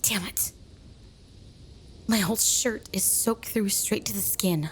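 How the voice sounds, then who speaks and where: A woman's voice: mid-range voice, a little hiss or hum, lightly acted. A woman playing a bossy, irritable mean girl in an ASMR roleplay, with an exasperated, scolding and sarcastic way of talking. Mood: urgent, bossy. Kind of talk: ASMR roleplay audio drama.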